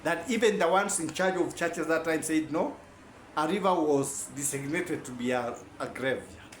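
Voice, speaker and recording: A man's voice: a little high, moderate pace, echoey room, a little hiss or hum. A man giving a rambling, self-correcting informal lecture.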